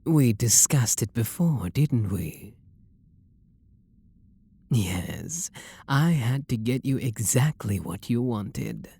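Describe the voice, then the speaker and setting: A man with a British accent playing a refined, possessive and seductive vampire lord in an intimate ASMR roleplay, speaking softly and coaxingly with a breathy, husky voice. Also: medium pitch, big pitch swings, full character voice. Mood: soothing, tender. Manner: soft, coaxing, flirtatious, unhurried.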